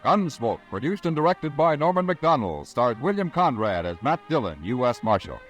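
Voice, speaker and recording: Music plays softly behind a man with an American accent and a medium-pitched voice. He is a 1950s radio announcer reading scripted drama credits. His delivery is formal and measured. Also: sing-song.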